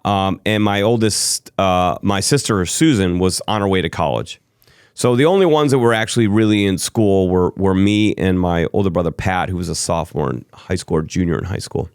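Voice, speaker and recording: A man's voice: sits low, moderate pace, clean tone, no room sound, studio quality. Rambling middle-aged man, East Coast–Midwest accent. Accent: mixed East Coast and Midwest American. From Chicago area, USA. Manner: rambling, self-interrupting, with frequent ums and restarts. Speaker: interview guest. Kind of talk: candid storytelling conversation.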